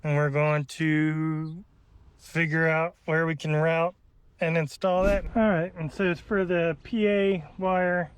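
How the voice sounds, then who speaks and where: A man's voice: a little high, level tone, slow pace, smooth. A man giving a casual hands-on how-to, talking informally and thinking aloud as he goes. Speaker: host demonstrating a vehicle radio installation. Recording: noisy car and outdoor ambience.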